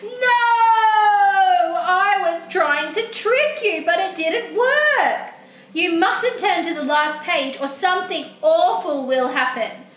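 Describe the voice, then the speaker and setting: A woman voicing a cranky, pleading male storybook character with melodramatic, drawn-out exclamations. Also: very high-pitched, lively intonation, a bit bright, pitched above the performer's own voice, full character voice. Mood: scheming, exasperated. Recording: roomy echo, faint background noise.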